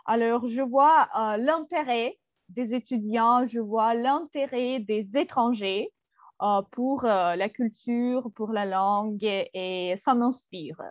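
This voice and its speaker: Russian-native woman talking french really slow, higher voice, dull dry sound. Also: no room sound. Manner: slow, careful, hesitant with fillers. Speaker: Russian teacher, guest. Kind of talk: interview answers in a second language.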